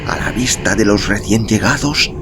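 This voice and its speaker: A man narrating a novel in a solemn, expressive, dramatic storytelling style, with music behind him. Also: fairly low pitch, moderate pace. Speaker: audiobook narrator. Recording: music bed under narration.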